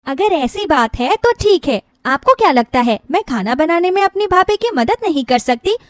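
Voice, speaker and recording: Young sister-in-law for a moral story played by a woman: high, lively, chatty, affectionate and playful. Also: moderate pace, no echo, clean studio sound. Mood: spirited, protective. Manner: eager, playful, talkative. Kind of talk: animated Hindi moral-story character voice.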